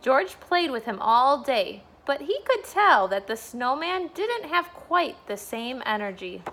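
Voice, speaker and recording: A woman's voice: sits high, sing-song, a little slow, a bit bright, a little hiss or hum. A woman, a school staff member, reading a bedtime storybook aloud for children.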